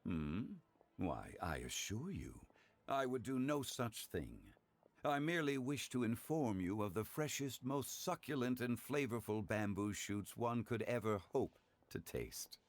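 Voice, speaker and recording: A man playing a refined, courteous, wise gentleman in a video game, speaking formally and eloquently with composure. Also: fairly low pitch, lively intonation, a little slow. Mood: slyly persuasive.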